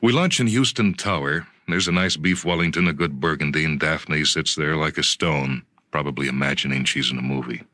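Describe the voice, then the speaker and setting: A man with a very low, bright and forceful voice reads first-person fiction. His delivery is conversational and emphatic, and the sound is close and dry. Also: fairly quick, hardly any pauses, no echo. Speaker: narrator. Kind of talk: dramatic first-person short story reading.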